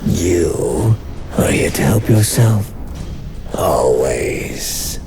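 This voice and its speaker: A man's voice: deep voice, expressive, heavily acted. A man voicing a sly, mocking, ancient ghoul leader in a horror radio play, with a guttural, hissing, whispery delivery. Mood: menacing, taunting. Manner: slow, taunting, drawn-out delivery. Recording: music under the voice.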